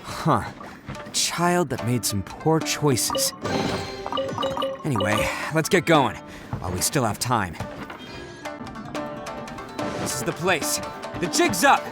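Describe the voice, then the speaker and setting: A man's voice: a little high, sing-song, slow pace, long pauses. A man voicing a brash, boastful young male oni gang boss in a game, with a good heart and a boisterous, laughing bravado. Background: music.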